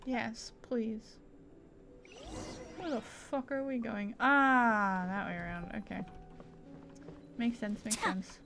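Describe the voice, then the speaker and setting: A woman's voice: on the high side, takes it slowly, gravelly, long pauses, fairly bright tone. A woman giving casual gameplay commentary, muttering to herself as she plays a video game. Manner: mutters to herself while playing. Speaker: streamer playing a video game.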